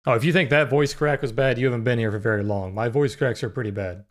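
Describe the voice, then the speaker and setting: Young male streamer, deep voice prone to cracks, laid-back conversational delivery at a desk mic. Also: quick pace. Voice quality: naturally deep voice that sometimes cracks high. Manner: relaxed, filler-heavy, answers chat questions. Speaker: livestream trading host. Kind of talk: casual live-stream chat with chat readers.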